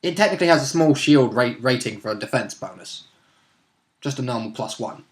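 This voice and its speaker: A man's voice: medium pitch, animated, fairly quick. A man chatting casually as a tabletop player, explaining and correcting details as he goes. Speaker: tabletop role-playing player. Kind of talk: casual chat.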